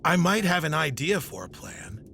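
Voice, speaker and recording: A man's voice: on the high side, sing-song, normal speed, gravelly, sharp tone, slight background noise, full character voice. A man playing a hesitant, awkward but determined young novice knight in an audio drama. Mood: tentative.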